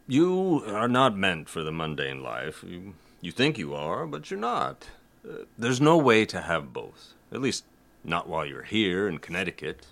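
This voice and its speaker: An actor playing a mild, halting older father, gently philosophical, in an audio drama. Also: sits low, very animated, gravelly, full character voice. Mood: gentle, earnest. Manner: halting, hesitant.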